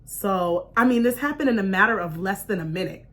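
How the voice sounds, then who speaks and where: A woman talk show host telling a personal story in an expressive, chatty, informal way, acting out bits of dialogue and checking in with her listeners. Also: mid-range voice, brisk. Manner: punctuates points with check-in questions like "right?". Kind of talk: animated personal storytelling to an online audience.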